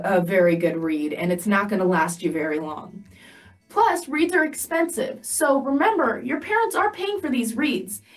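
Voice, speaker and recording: A woman's voice: fairly high pitch, recorded from a distance. A young woman teaching beginners in a patient, step-by-step way, holding up examples as she explains. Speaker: music teacher. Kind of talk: instructional demo for beginners. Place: studio set with banner backdrop, indoors.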